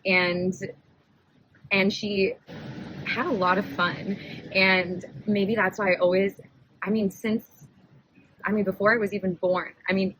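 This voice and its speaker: A woman's voice: mid-range voice, fairly bright tone, husky, rough recording. A young woman in her twenties, hosting a podcast and telling a personal story in a chatty, rambling way, often correcting herself and laughing. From United States. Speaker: podcast host.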